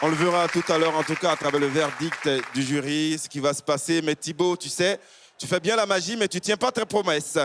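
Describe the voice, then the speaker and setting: A man's voice: on the high side, fairly quick. A man hosting a talent show live on stage, presenting in a teasing, theatrical way. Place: large stage before an audience. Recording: noisy stage with loud crowd.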